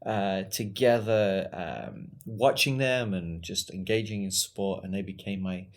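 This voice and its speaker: A man's voice: deep voice, a little slow. A man from England whose English accent has drifted toward American, co-hosting a podcast in casual, joking chat. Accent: English with an American tinge. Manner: jokey, laughs often, chatty. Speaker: podcast co-host.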